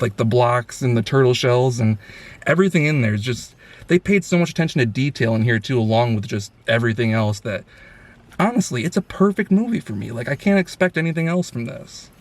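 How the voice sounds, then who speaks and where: A man's voice: medium pitch, lively intonation, fast. Enthusiastic man hosting a casual film review. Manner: enthusiastic, effusive.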